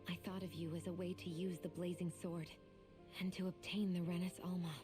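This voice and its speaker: A woman's voice: on the low side, flat delivery, fairly quick, close to an everyday voice. An actress playing a guarded but vulnerable young woman in a fantasy video game, speaking sincerely and confessionally. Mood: wistful. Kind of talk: video game English voice acting. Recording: music behind the voice. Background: game music.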